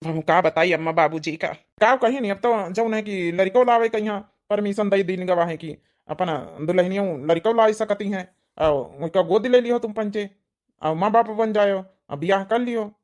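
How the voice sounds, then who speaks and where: A woman's voice: medium pitch, moderate pace, darkish tone, lightly acted. A woman with an Awadhi accent voicing a frustrated, dutiful grown son with an earnest, complaining manner in a cartoon story. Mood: troubled. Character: frustrated, dutiful adult son waiting to marry. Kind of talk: amateur cartoon story dialogue.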